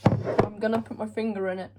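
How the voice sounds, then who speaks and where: A woman's voice: mid-range voice, little pitch movement, a little fast. Joking woman in a noisy kitchen. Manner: joking, silly, trailing off into mumbles. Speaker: co-host baking for a video. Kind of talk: casual chat. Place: home kitchen.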